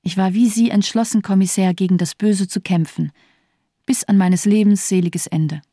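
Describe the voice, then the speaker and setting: A woman's voice: medium pitch, steady tone, moderate pace, mellow, dry recording, clean studio sound, natural voice. An actress playing an embittered, disillusioned woman survivor in a radio drama, speaking coldly with bitter sarcasm. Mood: bitterly sardonic. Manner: cold, lifeless, sardonic delivery.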